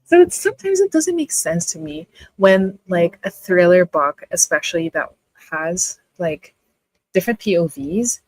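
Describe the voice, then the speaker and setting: A woman's voice: on the low side, big pitch swings, a little slow, a little mellow, dry recording, rough recording. A young woman with a Filipino accent hosting a casual live reading stream, chatting and reading out viewer comments with lots of "like" fillers. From Philippines. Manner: chatty, many "like" fillers, laughs often. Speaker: livestream host.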